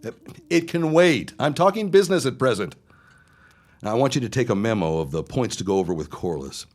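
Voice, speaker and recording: A man's voice: medium pitch, very animated, brisk, a little mellow. An actor playing a cold, domineering 1910s businessman husband in an audio drama, brusque, dismissive and commanding. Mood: impatient, businesslike. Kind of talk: period audio drama acting.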